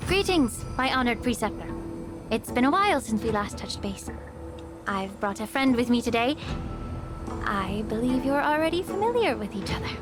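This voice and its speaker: An actress playing a poised, courteous woman official in a video game, formal and deliberate, with quiet firmness. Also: a little high, sing-song. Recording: game music behind the voice.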